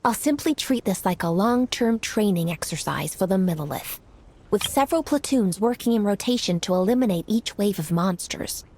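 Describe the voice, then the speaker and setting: A woman playing a composed, dutiful female military commander in a video game, speaking in a measured, formal, businesslike way. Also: medium pitch, animated, darkish tone, slight background noise, close to an everyday voice. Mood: earnest, measured. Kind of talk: scripted video game voice acting.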